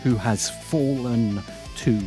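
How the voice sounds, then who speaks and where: A man narrating a scripted video essay as its host, speaking deliberately with dramatic pauses and emphasis. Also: mid-range voice, over music. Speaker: host of a film commentary channel.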